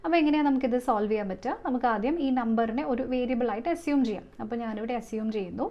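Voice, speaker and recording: A woman's voice: fairly high pitch, rapid-fire, highs cut off. A woman with a Malayali accent teaching step by step as an exam coach, often asking rhetorical questions and slipping into English terms. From Kerala, India. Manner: step-by-step, frequent rhetorical questions and check-in tags. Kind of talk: explanatory lesson, working through maths problems.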